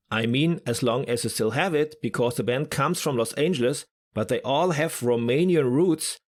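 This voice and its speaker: A man presents his rock podcast in a scripted, enthusiastic way. His voice sits at an ordinary middle pitch, and his delivery stays upbeat and eager throughout. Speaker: podcast host.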